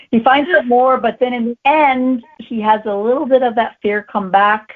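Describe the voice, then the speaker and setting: A woman's voice: a little high, fairly even intonation, moderate pace. Woman guest on a tinny phone line. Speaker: author being interviewed. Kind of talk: casual interview talk.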